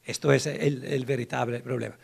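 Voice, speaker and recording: A man with an Italian accent giving an academic lecture on law, searching for words, often repeating himself and restarting, with bursts of animated emphasis. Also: medium pitch, a little fast, creaky, breathy edge. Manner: animated, rising emphasis on key points.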